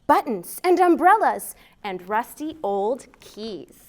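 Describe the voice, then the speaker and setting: An American woman with a high voice reads for small children. She takes her time, shaping phrases expressively and deliberately, as a library storytime host. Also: sing-song. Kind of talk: children's storytime read-aloud.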